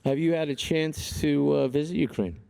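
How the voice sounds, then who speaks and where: A man's voice: on the high side, normal speed, vocal fry, warm tone, clear, resonant tone. Upbeat man hosting a live event. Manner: upbeat, hypes the crowd. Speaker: event host.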